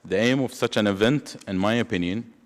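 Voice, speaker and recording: A man opens a panel as its chair in a formal, steady, scripted way. His voice sits fairly low and carries a long hall reverb. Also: brisk. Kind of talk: formal prepared remarks. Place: large conference hall.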